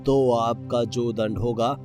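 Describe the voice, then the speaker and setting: A man voicing a solemn prophet sage in a cartoon folktale, with a grave, formal, measured delivery. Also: medium pitch, fairly even intonation, close to an everyday voice. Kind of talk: Hindi moral-story cartoon dubbing. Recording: voice over prominent background music.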